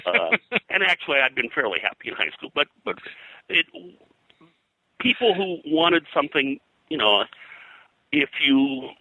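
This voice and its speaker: An older man reminiscing in an interview as a comics industry veteran, in a halting, rambling way peppered with "you know". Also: mid-range voice, pushed and bright, no echo, low-quality recording.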